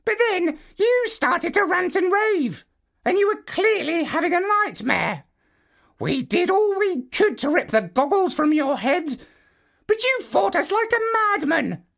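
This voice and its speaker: A reader doing a high, lecturing storybook parrot. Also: sing-song, a little slow, vocal fry, fairly bright tone, exaggerated voice. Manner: fussy, lecturing. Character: pompous, learned male parrot.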